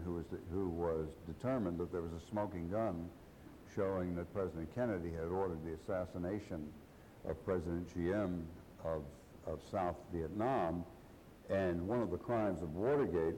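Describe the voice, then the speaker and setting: A man, a historian on a panel, who speaks haltingly and corrects himself mid-sentence. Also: deep voice, dark tone, big-room sound, slight background noise, rough recording. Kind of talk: panel discussion. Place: large hall.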